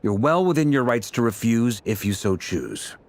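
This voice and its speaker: An actor playing an affable, wry veteran knight commander in a video game, speaking in a down-to-earth, conversational way. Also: male voice, mid-range voice, expressive, moderate pace, background noise, natural voice. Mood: businesslike, frank. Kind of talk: naturalistic video game voice acting.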